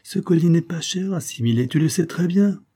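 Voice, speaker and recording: A man's voice: medium pitch, expressive, moderate pace, warm tone, slightly rough, pitched above the performer's own voice. A man voicing a stubborn, nagging tourist wife in a plaintive, exclamatory manner. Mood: stubborn, irritated.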